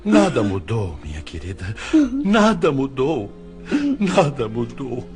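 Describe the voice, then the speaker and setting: A man acts the spirit of an old father in a radio play, tender and full of sorrow. He speaks very slowly, with a swooping pitch, over prominent music. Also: big pitch swings, darkish tone. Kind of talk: audio drama acting.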